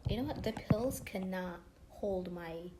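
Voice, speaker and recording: A woman's voice: on the low side, a little slow, background noise. A woman vlogger chatting to camera in a rambling, casual way, full of fillers and dropping now and then into whispery asides. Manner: drifting, many fillers, drops into whispery asides.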